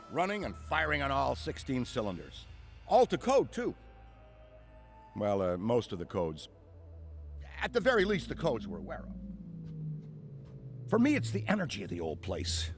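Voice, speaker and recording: Wordy, animated veteran ghost hunter. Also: male voice, medium pitch. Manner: wordy, theatrical, rambling. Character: veteran male ghost hunter, nostalgic, effusive, bookish enthusiast. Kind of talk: video game cutscene monologue.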